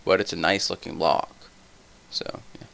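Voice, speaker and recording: A tired young man with a somewhat deep voice, rambling through casual gameplay commentary full of tangents. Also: little pitch movement, normal speed, gravelly, a bit bright. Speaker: let's play host.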